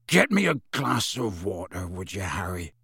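A man's voice: low-pitched, sing-song, moderate pace, pushed and bright, husky, dry recording, clean studio sound, pitched below the performer's own voice, exaggerated voice. A man voicing a paranoid, irritable veteran wizard in an audiobook, curt and commanding, with a growling voice. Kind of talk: audiobook character voice.

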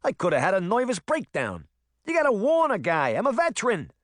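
A man's voice: high voice, huge pitch swings, brisk, no echo, clean studio sound, pitched above the performer's own voice. A man voicing a nervous, paranoid male cat who is an army veteran, with a New Jersey accent. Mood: panicky. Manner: nervous, dramatic, doom-laden.

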